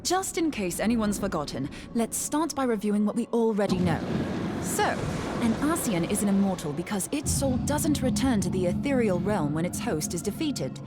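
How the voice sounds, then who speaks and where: A woman acts an earnest arcane scholar in a fantasy video game. Her mid-pitched voice swings with lively intonation as she explains and lectures. Clear music plays underneath. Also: moderate pace, close to an everyday voice. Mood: explaining keenly. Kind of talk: scripted fantasy game cutscene acting.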